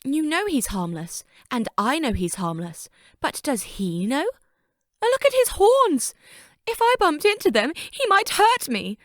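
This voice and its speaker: A woman's voice: sits high, big pitch swings, measured pace, dry recording, studio quality. A woman voicing a vain, haughty, easily flustered female railcar engine in a theatrical children's story. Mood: nervous, flustered. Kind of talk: character voice for a children's story fandub.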